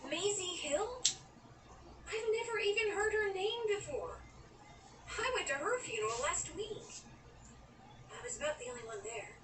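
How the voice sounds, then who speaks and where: High voiced young woman, wry and grieving, really lively pitch, radio drama. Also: roomy sound, recorded from a distance, very noisy, heavily acted. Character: young New York woman, wry, grieving her friend. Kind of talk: audio drama acting with first-person narration.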